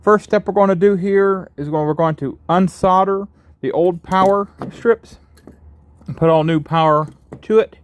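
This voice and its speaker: A man's voice: sits high, moderate pace, no room sound. A man with a folksy, informal manner hosting a casual how-to restoration video. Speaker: host of restoration vlog.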